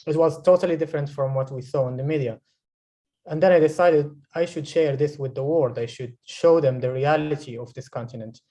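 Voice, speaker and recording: Halting young man on a video call. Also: a little high, dark tone. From Morocco. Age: 20s. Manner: hesitant, searching for words with many restarts. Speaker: guest filmmaker.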